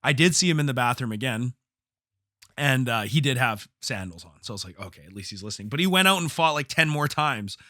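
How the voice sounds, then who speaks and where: A man hosting a solo podcast as a coach, telling stories in blunt, profane, slangy talk, slightly hoarse from a cold. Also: medium pitch, expressive, a little fast, sharp tone, no room sound, clean studio sound. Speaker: podcast host, jiu-jitsu coach and gym owner. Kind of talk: casual solo podcast storytelling.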